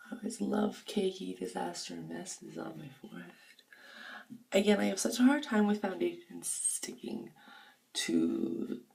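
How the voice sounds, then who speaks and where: A woman's voice: on the low side, gravelly, dark tone. Groggy-voiced woman with a sore throat. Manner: rambling, chatty, drifts to near-whispers. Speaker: beauty vlogger. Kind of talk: casual makeup review. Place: home bathroom. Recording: voice a bit far from the mic.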